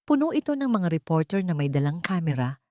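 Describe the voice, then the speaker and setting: A woman's voice: lowish voice, sing-song, a little fast, a little mellow, dry recording, clean studio sound. A woman reading a scripted, dramatic love story as its narrator.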